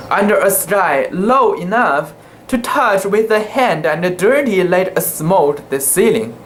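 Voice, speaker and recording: An amateur narrator giving a halting, theatrical reading of a literary sea story, sometimes stumbling over words. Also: mid-range voice, a little hiss or hum. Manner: halting, theatrical delivery with stumbles and self-corrections.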